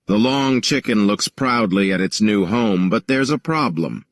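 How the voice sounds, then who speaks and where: A man's voice: lowish voice, animated, unhurried, no room sound, studio quality. A man narrating a scripted folktale for children. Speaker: story narrator.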